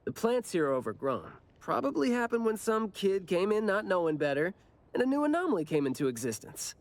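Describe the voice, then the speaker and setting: A playful, nonchalant young slacker from an anime game. The delivery is teasing and sing-song, with highly animated pitch swings. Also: brisk, no echo. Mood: explaining. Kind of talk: anime video game voice acting.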